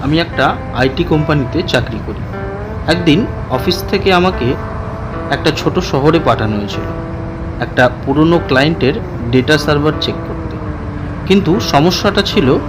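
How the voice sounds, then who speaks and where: A man's voice: on the high side, animated, normal speed, long pauses, music in the background. A man narrating a scripted first-person story for a cartoon as its storyteller.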